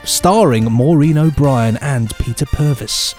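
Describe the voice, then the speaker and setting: A man co-hosts a podcast, moving between chatty asides and scripted news items with a playful, mock-pompous touch. His voice is mid-pitched, and faint music runs behind it. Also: slow. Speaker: podcast co-host. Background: music bed.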